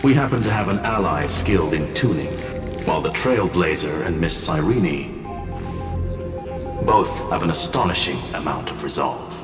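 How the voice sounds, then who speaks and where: An actor playing a composed, erudite genius in a video game, explaining formally and politely but firmly. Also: male voice, deep voice, expressive, moderate pace, roomy sound, big-room sound, recorded from a distance, over music. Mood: assured.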